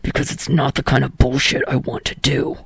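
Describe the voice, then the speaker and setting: A woman voicing an irritable, guarded young man in an audiobook story, with a low raspy growl and a terse, grumbling manner. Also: flat delivery, fairly quick, dry recording, full character voice. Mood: defensive. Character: gruff, irritable young male actor, foul-mouthed, guarded.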